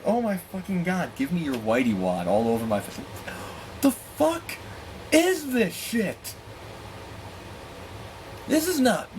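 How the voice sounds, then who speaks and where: A man telling a personal story in a candid, profane, animated way, acting out dialogue with exasperated asides. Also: medium pitch, slight background noise, rough recording. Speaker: storyteller and host.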